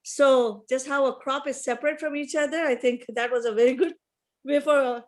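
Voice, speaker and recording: A woman's voice: high voice, fairly even intonation, normal speed. A woman student whose first language is Urdu, chatting appreciatively in class with light laughter. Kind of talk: class discussion.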